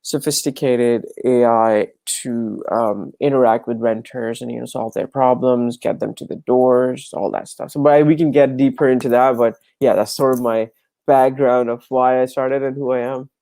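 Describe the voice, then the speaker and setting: A man's voice: mid-range voice, fairly even intonation, moderate pace, warm tone, dry recording. A young man from Dubai and Pakistan, a startup founder talking on a video call with lots of ums and restarts. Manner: hesitant, many fillers and restarts. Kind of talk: casual video interview. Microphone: wired earphones on video call.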